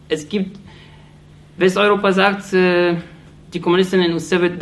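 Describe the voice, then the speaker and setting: A man speaks in a fairly high voice, pressing his points with earnest, accusatory intensity. His German is halting, full of restarts and small fillers as he hunts for words. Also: a bit bright. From Iraq. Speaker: speaker addressing an audience. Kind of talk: impassioned political speech.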